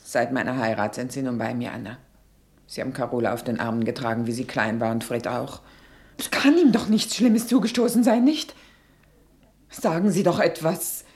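A woman's voice: very deep voice, lively intonation, moderate pace, darkish tone. An actress playing a distraught, bitter middle-aged mother of a missing child in a German radio play. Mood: pleading. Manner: agitated, pleading, broken phrasing.

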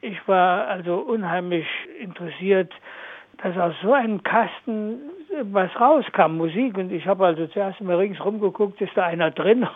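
A man's voice: sits high, moderate pace, narrow, tinny audio. An older man recalling his childhood hesitantly, with many fillers, in an interview. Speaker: eyewitness interviewee.

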